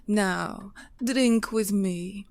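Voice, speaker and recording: A woman acts as a mysterious fairy seductress in an audio drama. She speaks very slowly and deliberately in a medium-pitched voice, luring the listener with hypnotic poise. The sound is close and dry. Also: darkish tone, no room sound. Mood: seductive, solemn. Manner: slow, deliberate, hypnotic, enticing. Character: mysterious seductive fairy temptress from a foreign land. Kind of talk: audio play acting.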